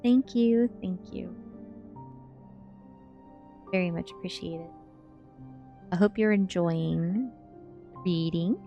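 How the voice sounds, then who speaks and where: A woman's voice: fairly low pitch, slow pace, gravelly, pauses often, warm tone. A sleepy woman streamer doing an ASMR book reading and chatting casually with viewers. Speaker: streamer reading books aloud. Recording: music playing behind the voice. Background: music.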